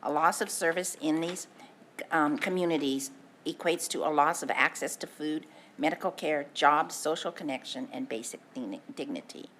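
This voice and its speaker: Low-voiced woman reading a formal letter. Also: fairly bright tone, slight background noise. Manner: earnest, measured reading with small stumbles. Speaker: advisory committee chair. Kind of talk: reading a letter at a public meeting. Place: public meeting room.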